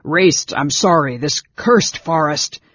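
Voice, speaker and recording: Guy doing a big gruff good-hearted fighter, anxious and grumbly, husky, storybook style. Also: medium pitch, level tone, normal speed, no echo, muffled recording. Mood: frightened. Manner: blustering, loud, muttering.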